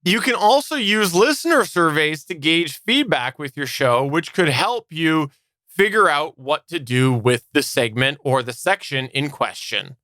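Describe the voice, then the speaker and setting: A man's voice: fairly high pitch, lively intonation, a little slow, sharp tone, dry recording. A man co-hosting a podcast, delivering scripted advice. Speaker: podcast co-host.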